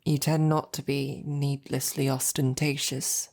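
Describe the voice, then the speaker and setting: A woman playing a devoted, self-doubting female royal knight in an intimate roleplay audio, speaking in a hesitant, informal, tender way with a low, gravelly voice. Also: flat delivery, unhurried, a little mellow, heavily acted. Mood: curious, gentle.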